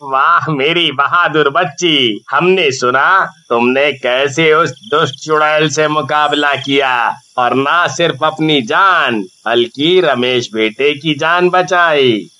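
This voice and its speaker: A fatherly village headman from a storybook, voiced by a man. He speaks very slowly in a forceful, bright tone. He is stately and full of approval. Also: fairly high pitch. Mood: proud. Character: village headman, slow, proud, fatherly elder.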